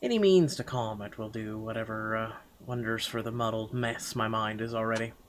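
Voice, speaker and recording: A man reading a visual novel aloud as first-person dramatic narration, halting now and then over the words. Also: fairly low pitch, a little hiss or hum. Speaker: game player reading the text aloud.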